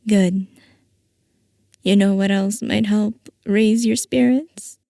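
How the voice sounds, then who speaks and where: Slow, mellow woman acting as a scheming scientist boss. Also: mid-range voice, steady tone, gravelly, dry recording, clean studio sound. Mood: reassuring, fond. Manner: soft, coaxing, affectionate villainy. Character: playful scheming female scientist boss, possessive, doting on her assistant. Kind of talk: ASMR roleplay acting.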